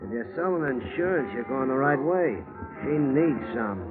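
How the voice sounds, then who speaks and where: Sardonic male bartender played by an actor, gruff and terse, old-time radio drama, thin sound under loud music. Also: medium pitch, expressive, normal speed, natural voice. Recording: tinny vintage radio recording, loud music.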